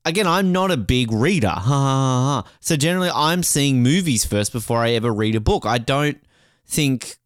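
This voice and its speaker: Podcast co-host, male, Australian. Rambling, jokey, sweary chat with animated intonation, recorded dry. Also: mid-range voice, moderate pace, clean tone, no room sound.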